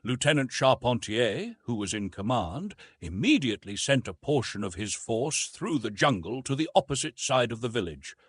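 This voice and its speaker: A man narrating a classic novel in an expressive, literary storytelling style. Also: medium pitch, normal speed, few pauses, breathy edge, no room sound, clean studio sound. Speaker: audiobook narrator.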